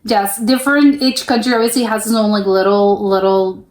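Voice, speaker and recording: A woman's voice: medium pitch, fairly even intonation, moderate pace, darkish tone. A young woman from the United States hosting a reaction video, rambling casually with lots of "like" fillers and restarts. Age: young adult. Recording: roomy echo.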